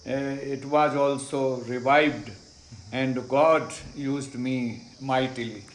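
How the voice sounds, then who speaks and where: A middle-aged Indian man whose first language is Hindi, telling his faith story slowly and deliberately, pausing on many "uh"s and repeating phrases. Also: mid-range voice, fairly even intonation, roomy sound. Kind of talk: unhurried personal testimony. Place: ashram in India.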